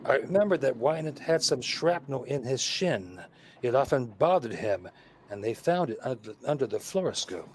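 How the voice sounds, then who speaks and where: A man's voice: mid-range voice, expressive, moderate pace, slight background noise, close to an everyday voice. A man playing a witty, playful detective and teasing husband in a vintage-style radio play, with wry theatrical delivery. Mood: confidently scheming.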